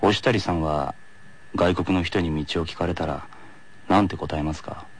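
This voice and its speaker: Man playing a low, muttering gloomy teenage boy. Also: steady tone, normal speed, slightly rough. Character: muttering, grumbling teenage tennis player boy.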